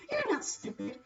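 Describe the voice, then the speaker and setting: A woman's voice: extremely high pitch, far from the mic, dull audio. A woman livestreaming a game, chatting casually and playfully with her viewers. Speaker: livestreamer playing a visual novel. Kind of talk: casual chat while gaming.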